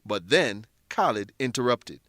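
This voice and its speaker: A man's voice: medium pitch, big pitch swings, measured pace, a bit bright, no echo, studio quality. A man narrating a radio drama and teaching vocabulary in a scripted, explanatory way, speaking directly to listeners. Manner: clear, explanatory, addressing listeners.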